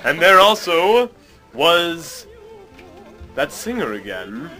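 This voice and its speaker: High-voiced theatrical man narrating over music. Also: big pitch swings, slow pace. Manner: theatrical, mock-grand. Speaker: narrator and show host. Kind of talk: comic scripted storybook narration.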